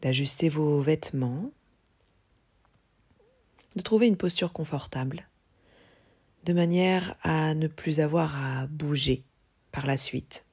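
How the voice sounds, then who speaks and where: A woman yoga teacher leading a guided relaxation, speaking calmly and in a measured way with long pauses. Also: low voice, breathy edge. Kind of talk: guided yoga nidra meditation.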